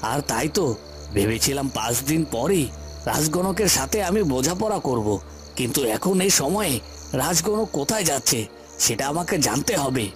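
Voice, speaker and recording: A man's voice: a little high, very animated, normal speed, music in the background. A man playing a scheming, deceitful villain in a fairy-tale cartoon, with a theatrical, self-questioning delivery. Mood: suspicious, plotting.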